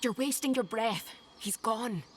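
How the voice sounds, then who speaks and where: A woman's voice: fairly high pitch, measured pace, a little hiss or hum, lightly acted. An actress playing a grim, knowing female adventurer in a video game, blunt and sombre. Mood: blunt, resigned. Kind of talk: video game character acting.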